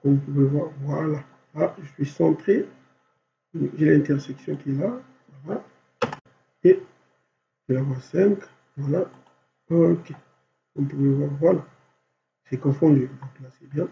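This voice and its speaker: A man with a cold teaching design software step by step, thinking aloud and filling gaps with constant voilàs. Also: fairly high pitch, a little slow, vocal fry, pauses often. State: has a cold. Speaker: software instructor. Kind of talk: screen-recorded software tutorial. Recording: dull lo-fi recording with faint hum.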